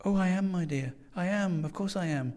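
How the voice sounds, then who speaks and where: A man's voice: high-pitched, moderate pace, darkish tone, pitched above the performer's own voice, close to an everyday voice. A man voicing a dotty, overly sweet older landlady who gushes and fusses over her guest. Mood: gushing. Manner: gushing, fussy, coaxing.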